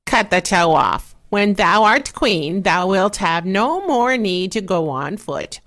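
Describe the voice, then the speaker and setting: A woman's voice: medium pitch, lively intonation, slow, a bit bright. A woman voicing a scheming, scornful fairy-tale stepmother with an emphatic, commanding manner and old-fashioned phrasing.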